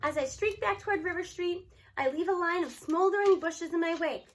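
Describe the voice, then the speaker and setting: A woman reading a children's novel aloud in an expressive, animated storytelling manner. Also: very high voice, brisk. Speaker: audiobook reader.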